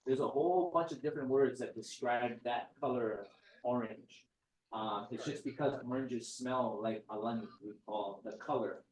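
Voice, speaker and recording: Man, casual joking talk, mid-pitched voice, echoey room, off mic. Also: moderate pace, recorded from a distance. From Hawaii. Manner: chatty, joking, digressive. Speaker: guest speaker.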